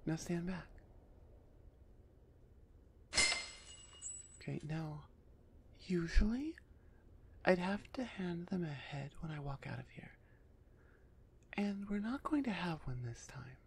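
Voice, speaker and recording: A woman voicing a big, gruff female minotaur executioner with grudging, teasing menace in an ASMR roleplay. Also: on the low side, animated, unhurried, long pauses, darkish tone, slight background noise. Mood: gruff, commanding.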